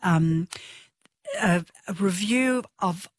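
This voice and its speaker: A woman's voice: on the low side, slow, no room sound. A woman, a physiotherapist guest talking eagerly on a radio show. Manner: enthusiastic, talkative. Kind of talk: radio interview. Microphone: studio microphone.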